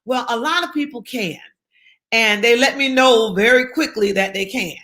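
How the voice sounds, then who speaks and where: Bright, emphatic woman speaker. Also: a little high, normal speed. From South Carolina. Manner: emphatic, repeats key phrases. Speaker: guest speaker. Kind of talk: motivational interview talk.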